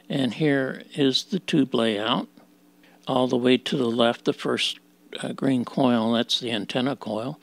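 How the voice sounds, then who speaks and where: A man giving an informal technical walkthrough, explaining in short, halting phrases as a demonstration presenter. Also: medium pitch, creaky, fairly bright tone. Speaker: presenter of a vintage radio demonstration.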